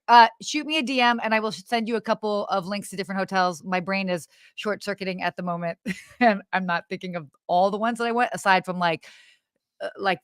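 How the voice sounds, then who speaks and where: A woman's voice: mid-range voice, sharp tone, smooth, no room sound, clean studio sound. A woman co-hosting a live travel show in casual chat. She is bubbly, laughs often, and trails off and restarts her sentences. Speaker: podcast co-host.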